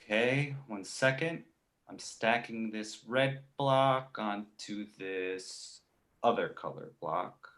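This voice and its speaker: A man voicing a cheeky, crude talking baby boy in an animated comedy table read, sarcastic and blunt. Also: lowish voice, takes it slowly. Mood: casual. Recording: roomy echo.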